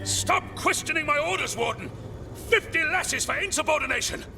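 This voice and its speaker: An actor playing a deluded, haughty military commander in a video game, imperiously barking orders. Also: male voice, extremely high pitch, animated, normal speed, gravelly, exaggerated voice. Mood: imperious, delusional. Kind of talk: video game drama acting. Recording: loud game music behind.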